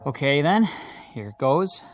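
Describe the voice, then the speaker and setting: A man's voice: fairly high pitch, sing-song, slow pace. Nervous, obliging man. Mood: nervous politeness. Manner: hesitant, deferential. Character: nervous, obliging amateur pianist man, eager to please. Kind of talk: radio drama acting. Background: music.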